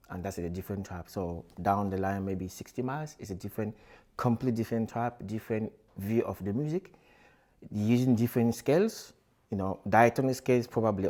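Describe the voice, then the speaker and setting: Uomo originario del Camerun, voce piuttosto bassa, che parla con calma e molte esitazioni. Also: male voice. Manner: unhurried, hesitant, many fillers and restarts. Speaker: musician. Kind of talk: casual explanatory talk.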